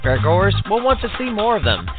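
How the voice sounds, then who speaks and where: A man's voice: high-pitched, big pitch swings, a little fast. A man reading a scripted public service announcement in an emphatic, dramatic way. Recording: tinny lo-fi audio with music.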